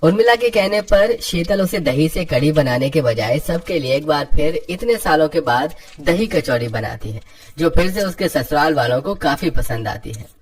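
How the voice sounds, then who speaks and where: A man's voice: a little high, expressive, moderate pace, breathy edge. A man narrating a scripted cartoon story as the storyteller.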